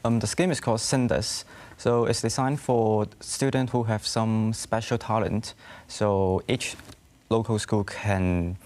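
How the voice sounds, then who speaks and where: Young man, late teens, low pitch, halting studio interview answers. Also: fairly even intonation, a little mellow. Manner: hesitant, simple halting English. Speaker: interview guest, school graduate.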